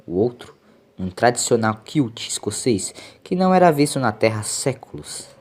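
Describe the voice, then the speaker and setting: A man's voice: medium pitch, sing-song, moderate pace, darkish tone. A man with a Brazilian accent narrating a scripted audiobook story.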